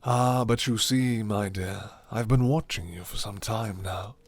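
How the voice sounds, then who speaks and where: A man voicing a suave, commanding vampire lord in an ASMR roleplay, with formal, breathy, half-whispered menace. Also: fairly low pitch, measured pace. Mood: silky, intrigued.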